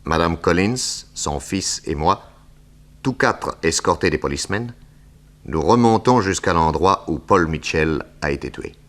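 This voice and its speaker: Bright, theatrical detective, animated delivery. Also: male voice, low-pitched, measured pace. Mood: dramatic explaining. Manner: theatrical, declamatory, emphatic. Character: shrewd, confident male private detective revealing the culprit. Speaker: detective hero and narrator. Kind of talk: 1960s French radio crime drama acting.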